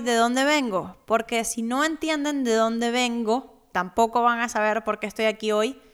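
A woman's voice: on the high side, fairly bright tone, smooth. A young woman from Cuba hosting her own finance podcast, telling her story in an upbeat, personal way. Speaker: podcast host.

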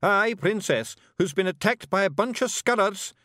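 A man voicing a tiny, brash fairy warrior leader with a Scottish accent, excitable, loud and blunt, in an audiobook. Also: high-pitched, normal speed, no echo, studio quality, voice pushed up. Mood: blustering. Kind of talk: audiobook character voice.

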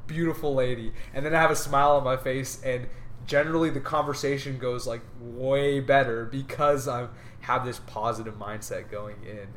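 A man's voice: mid-range voice, steady tone, moderate pace, fairly bright tone, a little hiss or hum. A young man co-hosting a casual podcast, talking in an earnest, rambling, upbeat way. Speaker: podcast co-host. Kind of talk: casual chat.

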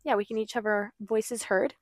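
A young woman co-hosting a podcast, talking in a relaxed, soft way and laughing often. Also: fairly high pitch, normal speed, no echo, clean studio sound. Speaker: podcast co-host. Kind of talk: casual chat. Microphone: small podcast mic.

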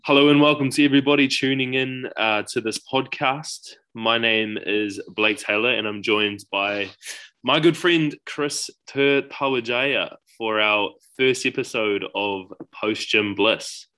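A man's voice: medium pitch, pushed and bright. A young man from New Zealand with a New Zealand accent, co-hosting a casual podcast chat in an easygoing way with kiwi slang. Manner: easygoing, quick laughs, Māori greetings and kiwi slang. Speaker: podcast co-host.

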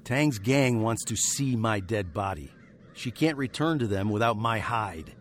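A man's voice: fairly low pitch, animated, unhurried, slight background noise. An actor playing a wry fugitive western hero, narrating his tale in a literary, sardonic way for a radio drama.

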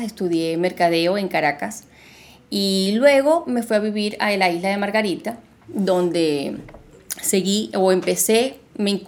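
A woman's voice: on the low side, vocal fry. Woman podcast co-host. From Argentina, raised in Venezuela. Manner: relaxed, halting with fillers. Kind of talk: casual podcast conversation.

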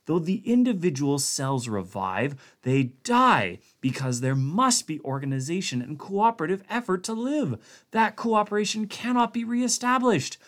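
A man voicing a small, excitable male scientist who lectures insistently. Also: high-pitched, pitched above the performer's own voice, full character voice.

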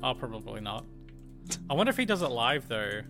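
A man's voice: mid-range voice, big pitch swings, a little fast, music in the background. A young man co-hosting a casual podcast, joking and laughing often with disbelief. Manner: laughs often, jokey and incredulous. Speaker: podcast co-host. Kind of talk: casual banter over a game.

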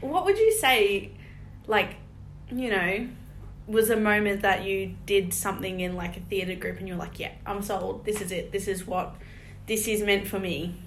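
A woman's voice: medium pitch, moderate pace, a little hiss or hum. A young woman hosting an interview, playful and teasing, giggling between her questions. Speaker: podcast host. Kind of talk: casual interview chat. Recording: echoey room.

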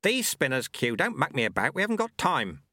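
A man puts on a rascally old monk mentor for an audiobook, pitched well above a usual male voice. He is chatty, irreverent and unbothered, with a lively swing to his phrases. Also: a little fast, no room sound, studio quality, heavily acted. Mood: firm, knowing.

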